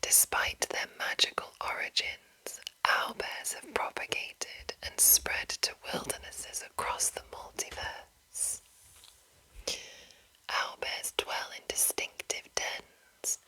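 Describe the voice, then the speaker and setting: A woman whispering an ASMR reading of a game manual in a breathy, unvoiced voice, deliberate, with pauses between short phrases. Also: sharp tone, poor audio. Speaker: ASMR channel host.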